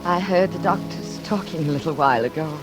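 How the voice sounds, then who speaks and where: A woman's voice: low-pitched, a little fast, natural voice, rough recording. An actress playing a genteel, nervous, lonely woman with wistful eagerness in a 1940s radio suspense drama. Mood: dreamy, fading. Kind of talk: 1940s radio suspense acting with confiding narration. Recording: vintage radio drama with music behind.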